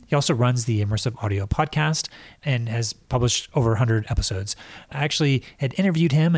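A man's voice: medium pitch, a little mellow. A man hosting a podcast, introducing a guest and asking loose, casual questions with many fillers. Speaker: podcast host.

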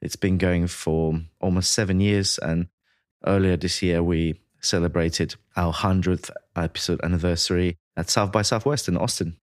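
A man from Latvia, a sound designer, giving measured, explanatory answers as an interview guest. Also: sits low, fairly even intonation, dry recording, clean studio sound.

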